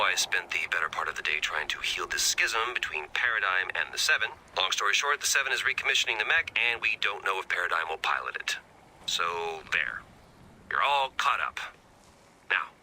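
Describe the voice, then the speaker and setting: An actor voicing a wry, excitable scientist rebel in a video game, sardonic and chatty. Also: male voice, on the low side, slight background noise, exaggerated voice, rough recording. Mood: exasperated, wry.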